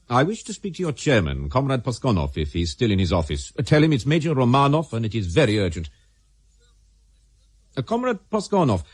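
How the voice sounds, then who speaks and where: An imperious Soviet major in a radio drama. The voice is raspy and medium in pitch, pressing and urgent, with emphatic rises and falls. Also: male voice, no echo.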